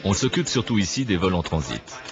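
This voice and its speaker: A man reading a scripted documentary narration in a measured, didactic manner. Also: low voice, big pitch swings, dull audio. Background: clear background music.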